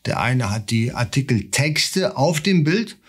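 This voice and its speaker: A man's voice: medium pitch, big pitch swings, a little slow, husky. A man explaining a screen-share tutorial in German, talking the viewer through steps with rhetorical questions. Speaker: online seller tutor.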